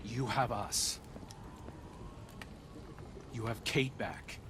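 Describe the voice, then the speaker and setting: An actor playing a loyal friend and teammate in a dramatic video game, earnest and urgent. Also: male voice, medium pitch, drawn-out words. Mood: pleading. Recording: clear ambient noise behind.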